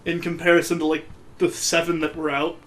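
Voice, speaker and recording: A man's voice: fairly high pitch, level tone, fairly quick, a bit bright. A man giving casual, joking commentary as he plays a video game, with a sarcastic, mocking way of talking. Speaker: let's play host playing the game.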